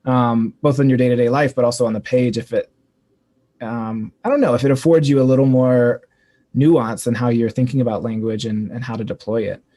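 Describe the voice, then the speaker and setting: A man's voice: medium pitch, steady tone, a little fast, mellow. A man from North Carolina hosting a festival conversation on a video call, asking thoughtful, winding questions. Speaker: festival host.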